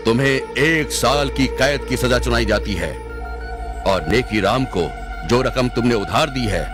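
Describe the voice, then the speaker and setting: A man playing a stern, just emperor of old India in an animated moral story, with a regal, commanding manner. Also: medium pitch, lightly acted. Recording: music behind the voice.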